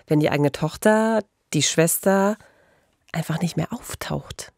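A woman's voice: deep voice, a little slow, creaky, a little mellow, dry recording. A woman hosts a true-crime podcast, talking conversationally with a co-host. The recording is dry and close, without room sound.

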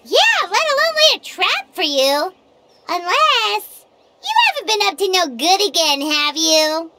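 A woman voicing a tiny, nosy, excitable childlike fairy companion in a video game, exclaiming as she talks. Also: extremely high pitch, measured pace, background noise, heavily acted. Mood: teasing, surprised. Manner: refers to self in third person, exclamatory.

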